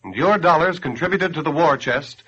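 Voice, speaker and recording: An American man speaks as a 1940s radio announcer, earnest and persuasive. His voice sits a little high with a husky edge. The old broadcast recording is muffled and grainy. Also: moderate pace, fairly bright tone. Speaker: radio announcer and campaign spokesman.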